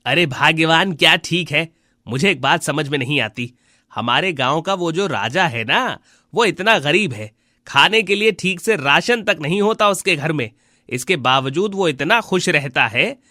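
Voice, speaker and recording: A scheming, envious village husband from a cartoon fable, acted by a man. The voice is very high for a male and takes its time, with exaggerated storybook delivery, recorded dry and close. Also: expressive, a little slow, pushed and bright, dry recording. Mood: envious, puzzled. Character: scheming, jealous village husband, gloating over a neighbour's misery. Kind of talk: Hindi animated moral story dialogue.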